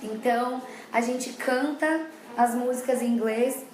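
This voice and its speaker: A woman with a Brazilian Portuguese accent, a child development educator, talks parents through her points in a plain, explanatory way and circles back to repeat things. Her voice sits a little high. The sound carries the echo of a hard-walled room. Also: measured pace, a little mellow. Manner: explanatory, repeats herself often. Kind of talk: casual talk to parents. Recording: echoey room.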